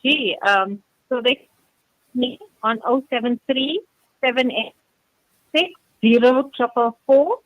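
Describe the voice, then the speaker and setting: A woman's voice: on the high side, takes it slowly, pauses often, fairly bright tone, dry recording. A woman with a South African accent speaking conversationally as a radio interview guest over a telephone line. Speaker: interview guest, community charity organiser. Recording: tinny phone line on radio.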